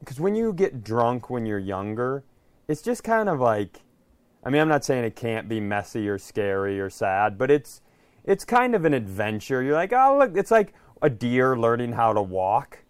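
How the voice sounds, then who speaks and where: Guy with a clean smooth voice, close dry sound, chatting on a podcast, wry and opinionated. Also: mid-range voice, big pitch swings, normal speed, no room sound. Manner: opinionated, wry, rambling storyteller. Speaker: podcast co-host. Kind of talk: casual comic chat.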